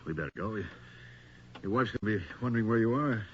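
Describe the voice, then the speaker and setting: Man playing an intense weary policeman, very animated. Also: lowish voice, fast, natural voice. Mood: weary, subdued. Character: weary, determined policeman tormented by an evil force. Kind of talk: dramatic radio horror acting. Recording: muffled radio drama with background noise.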